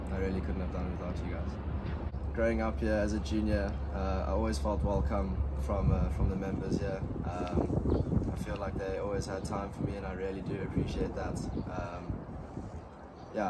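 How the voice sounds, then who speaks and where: A young South African man in his late teens, answering interview questions outdoors in a soft-spoken, hesitant way with many ums. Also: low voice. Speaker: junior golfer interviewee. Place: outdoor deck overlooking a golf estate. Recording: loud outdoor background noise.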